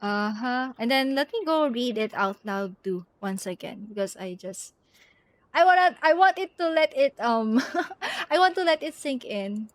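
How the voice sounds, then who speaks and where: A woman streaming a game live, chatting casually and giggly as she thinks out loud and reads bits of text. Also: on the high side, expressive, a little slow, no echo.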